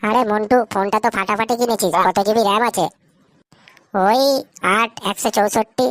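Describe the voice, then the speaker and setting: A woman's voice: mid-range voice, fairly even intonation, fairly quick, background noise, natural voice. A woman voicing foul-mouthed, cocky young village men who taunt and swear in a comedy cartoon. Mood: taunting banter.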